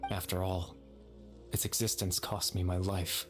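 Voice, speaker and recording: An actor playing the gentle, regretful lingering consciousness of a dead young man in a video game, soft-spoken and measured. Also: low voice, flat delivery, over music. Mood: solemn, explaining.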